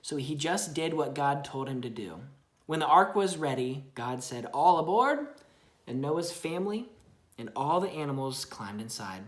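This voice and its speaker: Low-voiced woman reading a children's story. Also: normal speed, reverberant. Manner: expressive, asking the child simple questions. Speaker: storybook reader to a young child. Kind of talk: children's Bible story read aloud with chat.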